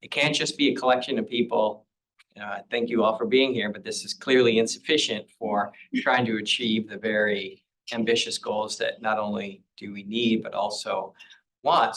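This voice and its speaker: A man speaks on a panel like a professor giving a lecture. He is earnest and emphatic, and his voice sits at an ordinary pitch for a man. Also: fairly bright tone, poor audio. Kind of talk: panel talk.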